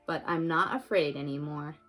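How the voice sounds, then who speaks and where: A woman's voice: sits low, normal speed, a bit bright, faint music behind, voice pushed down. A woman voicing a shy, polite young male vegetarian vampire in a storybook reading. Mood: relieved. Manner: timid, polite.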